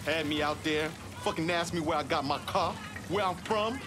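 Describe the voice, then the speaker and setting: An actor playing an aggrieved Southern kitchen worker, griping indignantly in a video game. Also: male voice, fairly high pitch, normal speed, over music, natural voice.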